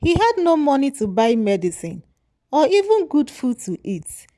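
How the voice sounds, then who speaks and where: A woman storyteller narrating a folktale in a measured, moralizing way. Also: high voice, big pitch swings, warm tone.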